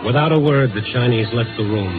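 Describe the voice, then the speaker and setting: An actor playing an earnest, world-weary American newspaper reporter in a 1950s radio drama, speaking in clipped, dramatic phrases. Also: male voice, mid-range voice, fairly quick, lightly acted. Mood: hushed, suspenseful. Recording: narrow-band vintage radio broadcast. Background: dramatic music score.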